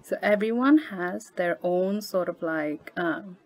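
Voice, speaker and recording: A woman's voice: fairly low pitch, slow. A woman in her twenties from Nepal, talking casually as a podcast guest with many fillers and frequent laughs. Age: 20s. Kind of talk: casual interview chat.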